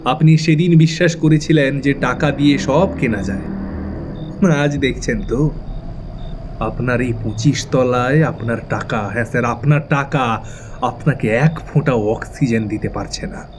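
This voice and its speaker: A man's voice: mid-range voice, a little slow, noisy background, lightly acted. Man acting a cold, mock-polite young avenger. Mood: cold accusation. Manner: controlled, mock-polite, menacing. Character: cold, taunting young avenger of his dead father. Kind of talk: dramatic audio thriller acting.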